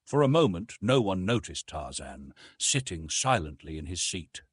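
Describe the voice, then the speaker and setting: A man's voice: low-pitched, very animated, moderate pace, husky, no echo. A man reading an adventure novel as a narrator, in a dramatic scripted style. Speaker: audiobook narrator.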